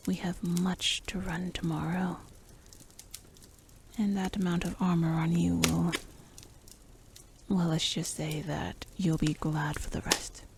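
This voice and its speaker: A woman's voice: on the low side, level tone, takes it slowly, vocal fry, pauses often, slight background noise. A woman playing a playful, cool-headed rogue in a fantasy roleplay ASMR, speaking in a teasing, intimate, half-whispered way. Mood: teasing, soft.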